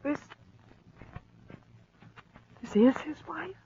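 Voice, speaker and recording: High-voiced, earnest young radio heroine. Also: female voice, lively intonation, extremely slow, dark tone, heavily acted. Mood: shaken. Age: young adult. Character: determined, distraught young woman disbelieved by everyone. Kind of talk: 1940s radio crime drama acting. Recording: muffled, noisy old-time radio recording.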